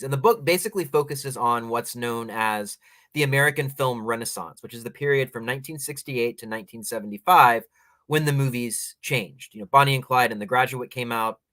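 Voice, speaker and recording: A man's voice: medium pitch, normal speed, a bit bright. A man hosting a talk show, giving enthusiastic, opinionated and discursive commentary in a conversational style. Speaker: film teacher and critic.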